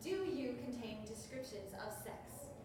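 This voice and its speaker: A high-pitched, righteous female prosecutor played by a stage actress questions with prim disapproval and sarcasm. It is courtroom theater, picked up from far off in a very echoey space with noticeable noise. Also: sing-song, unhurried. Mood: scornful, accusing. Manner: pointed, disapproving, sarcastic cross-examining. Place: live stage performance in a roomy venue. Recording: echoey hall, distant mic, noisy room.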